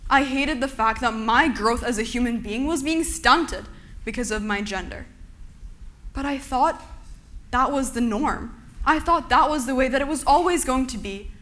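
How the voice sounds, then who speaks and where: Young woman from Turkey, slightly high voice, earnest and measured public speaker. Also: fairly bright tone. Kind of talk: prepared public talk.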